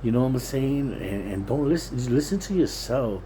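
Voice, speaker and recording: A man's voice: mid-range voice, lively intonation, normal speed, warm tone, a little hiss or hum. A plain-spoken, streetwise Puerto Rican man giving a casual, rambling, repetitive monologue. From Puerto Rican, living in Pennsylvania, USA. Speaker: vlogger.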